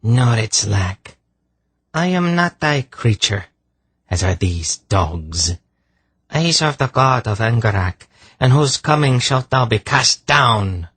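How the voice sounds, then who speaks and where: A man voicing a cruel, cold sorceress with a harsh rasp and derisive, archaic speech in a fantasy storybook. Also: medium pitch, sing-song, slow pace, dry recording, voice pushed up, heavily acted. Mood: contemptuous. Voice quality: harsh, rasping. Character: slender, cruel, unearthly sorceress, contemptuous and cold.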